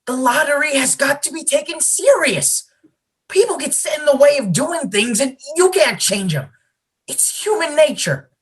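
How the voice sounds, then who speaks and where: A woman's voice: high-pitched, lively intonation, moderate pace, rough recording. A woman playing a beleaguered, folksy small-town man in charge of a solemn tradition, in an amateur play acted over video call. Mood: exasperated. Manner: folksy, exasperated, officious. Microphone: video call microphone.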